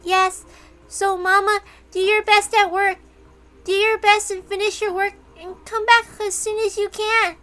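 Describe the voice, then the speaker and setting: A woman's voice: very high-pitched, little pitch movement, unhurried, bright, forceful tone, heavily acted. A woman voicing a lonely, earnest little girl who is devoted to her mother, in a dramatic story reading. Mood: pleading, dutiful. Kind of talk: dramatic reading of a visual novel.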